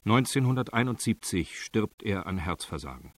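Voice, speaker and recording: A man's voice: lowish voice, sing-song, moderate pace, faint music behind. A man narrating a radio crime drama in a sober, factual, matter-of-fact style.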